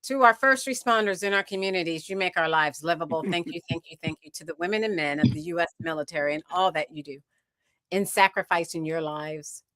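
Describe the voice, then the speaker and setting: A woman's voice: lowish voice, a little fast, fairly bright tone, no room sound. An American woman hosting a live talk show, firmly moderating her panel.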